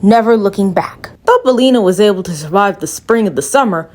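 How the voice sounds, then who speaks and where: A woman's voice: medium pitch, animated, a little fast, darkish tone. A woman narrating a fairy tale in a casual, chatty way with playful asides. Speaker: storyteller.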